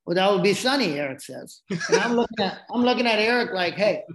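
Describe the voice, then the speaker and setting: A man's voice: high-pitched, lively intonation, a little fast, a bit bright, echoey room, a little hiss or hum, low-quality recording. A man whose first language is Japanese, speaking English with a Japanese accent and telling stories in a casual, rambling way with lots of restarts. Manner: rambling, frequent restarts and asides. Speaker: podcast guest. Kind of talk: casual storytelling.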